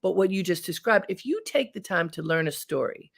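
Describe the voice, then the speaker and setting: A woman's voice: lowish voice, lively intonation, brisk, vocal fry. A middle-aged woman hosting an interview, telling stories in an emphatic, conversational way. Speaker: host.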